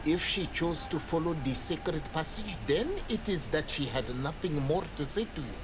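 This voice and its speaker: A solemn island native man played by an actor guards sacred lore in a video game. His voice sits a little high, and he speaks in formal, stern, measured phrases. Clear background ambience runs behind him. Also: lively intonation, noisy background, close to an everyday voice. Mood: guarded, stern. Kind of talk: video game character dialogue.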